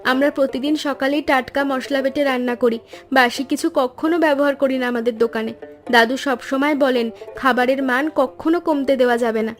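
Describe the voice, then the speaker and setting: A woman's voice: high-pitched, fairly even intonation, a little fast, soft background music, lightly acted. A woman voicing a caring, hardworking young granddaughter who serves at the family shop in a cartoon, polite and earnest. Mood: polite, friendly. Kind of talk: cartoon story dialogue.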